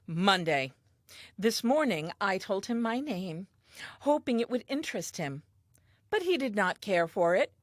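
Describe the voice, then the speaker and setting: An actress playing a talkative, eager and self-assured first woman telling her diary in a comic audio play, earnest and expressive. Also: mid-range voice, unhurried, no echo, clean studio sound. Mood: hopeful, wistful.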